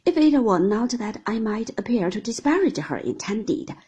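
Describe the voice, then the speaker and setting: A woman voicing a confident young gentleman who speaks slowly and distinctly, in a breathy voice. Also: medium pitch, big pitch swings. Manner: measured, deliberate, slow and distinct.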